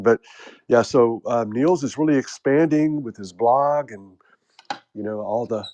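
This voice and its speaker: An American man hosts a loose group chat, joking and wandering between topics with many pauses and fillers. His voice sits at an ordinary middle pitch. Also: a little mellow. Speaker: host.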